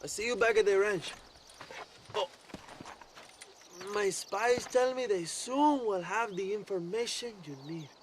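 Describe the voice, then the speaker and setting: An actor playing a flamboyant, lecherous, boastful Mexican rebel leader in a video game, theatrical and cajoling with a Mexican accent. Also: male voice, sits high, a little slow, pauses often, slight background noise. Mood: jovial, evasive. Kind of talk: video game cutscene acting.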